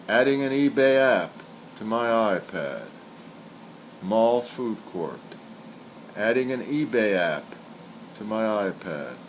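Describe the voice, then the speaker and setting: A man giving a scripted literary reading of short poems and commentary, reciting in a deliberate, measured way. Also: medium pitch, poor audio. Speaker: poet reading aloud. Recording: steady background hiss or hum.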